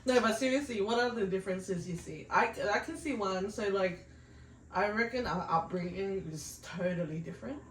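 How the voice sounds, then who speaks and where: A woman's voice: medium pitch, normal speed, a little hiss or hum. A young woman from New Zealand with a New Zealand accent, chatting and teasing her partner in casual banter, laughing often. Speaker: co-host of couple food channel. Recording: echoey room, mic at a distance.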